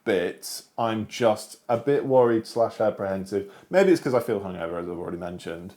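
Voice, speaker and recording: A British man with a fairly low-pitched voice talks casually as co-host of a book discussion show. His delivery is unscripted and informal, sprinkled with everyday slang. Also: animated, moderate pace, darkish tone. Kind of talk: casual unscripted chat about books.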